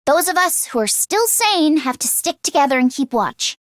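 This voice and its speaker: A woman voice acting an earnest, anxious teenage schoolgirl in an English video game, with expressive, emotionally heightened delivery. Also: high voice, moderate pace, no echo, clean studio sound. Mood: concerned.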